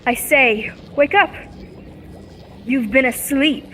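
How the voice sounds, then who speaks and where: A woman's voice: high voice, slow pace, fairly bright tone. A young woman playing an eager, inquisitive young soldier heroine in an old-time radio serial. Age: young adult. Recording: echoey hall with background noise.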